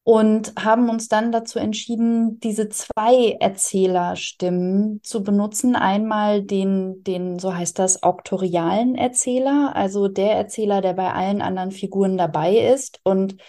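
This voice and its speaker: A woman's voice: medium pitch, steady tone, measured pace, hardly any pauses, warm tone. A woman writer explaining her work in conversational interview answers. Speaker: interviewed audio drama writer.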